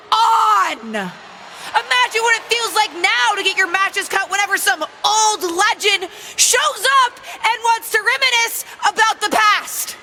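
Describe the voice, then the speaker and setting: Indignant young female wrestler, video game promo, very high voice, deliberate pace, mocking, noisy recording. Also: bright, forceful tone, heavily acted. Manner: sarcastic, mocking, emphatic. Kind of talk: shouted wrestling promo acting.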